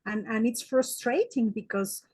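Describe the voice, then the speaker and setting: A woman's voice: on the high side, a little slow, darkish tone, slightly rough, dry recording, clean studio sound. Hesitant Mexican woman psychotherapist. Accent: Mexican Spanish. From Mexico City. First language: Spanish. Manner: hesitant, many "eh" fillers and restarts. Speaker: psychotherapist guest. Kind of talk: interview answers.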